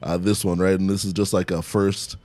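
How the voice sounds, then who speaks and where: A man's voice: low voice, flat delivery, fairly quick, darkish tone, no echo. A young man, talking casually as an interview guest and often chuckling as he speaks. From Canada. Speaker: stand-up comedian, interview guest.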